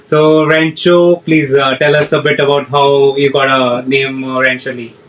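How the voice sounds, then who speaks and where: A man's voice: mid-range voice, level tone, unhurried, fairly bright tone, recorded from a distance, poor audio. A man hosting a casual podcast interview, asking questions with frequent hesitations and fillers. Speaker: podcast host.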